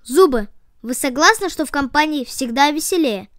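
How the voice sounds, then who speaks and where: A woman's voice: sits high, animated, normal speed, no echo, clean studio sound. A woman voicing a meddling, cheerful nose in a children's story. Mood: pushy.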